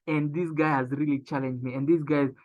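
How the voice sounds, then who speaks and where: A young man giving a motivational business training talk. He speaks emphatically, repeats his key phrases and slows down to stress them. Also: fairly high pitch, steady tone. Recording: tinny phone-like sound.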